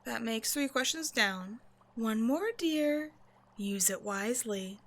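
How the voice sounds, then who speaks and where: A woman voicing a cold, century-old female vampire scientist in an audio roleplay, speaking in a dry, measured, condescending way straight to the listener. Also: on the high side, animated, fairly bright tone, full character voice. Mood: guarded, matter-of-fact. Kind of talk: scripted ASMR roleplay monologue, speaking to a listener.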